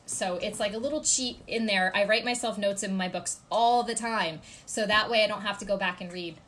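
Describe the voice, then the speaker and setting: A woman in her thirties with a congested voice, giving casual writing tips to camera from her home office, chatty and full of ums and quick check-ins. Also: mid-range voice, fairly bright tone, slight background noise. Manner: chatty, gestures a lot, many ums and "okay?" check-ins. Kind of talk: casual instructional vlog to camera. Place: home office with ceiling fan, indoors.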